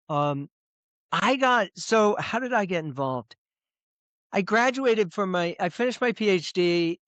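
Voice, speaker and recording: Man, middle-aged, smooth clear voice, dry close sound, rambling restarts. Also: fairly high pitch, a bit bright, dry recording. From United States. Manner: rambling with frequent restarts and repeated words. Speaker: presentation coach, guest. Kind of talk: casual interview talk. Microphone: video call.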